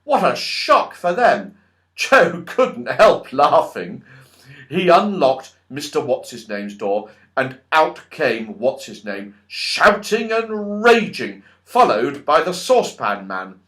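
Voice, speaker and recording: A man narrating a children's audiobook in an expressive, dramatic and emphatic storytelling style. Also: a little high, slow.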